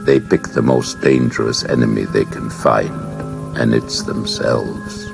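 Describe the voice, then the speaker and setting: Male reader as alien invader, bottomless low voice, cold, measured, superior, audiobook drama, prominent music underneath. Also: fairly even intonation, vocal fry, exaggerated voice. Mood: cold, calculating. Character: cold, patient alien invader explaining his plan.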